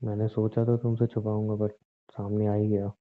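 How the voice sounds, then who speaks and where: A man's voice: fairly low pitch, monotone, normal speed, natural voice. A man playing a shifty, defensive cheating boyfriend who insists and justifies himself in a phone-call argument. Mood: brazen. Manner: pleading, insistent, self-justifying. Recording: tinny narrow-band phone line.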